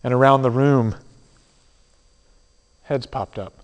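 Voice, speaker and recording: A man giving a sermon in a teaching manner, with dramatic pauses and soft drops in his voice. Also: medium pitch, smooth. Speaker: preacher. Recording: long hall reverb.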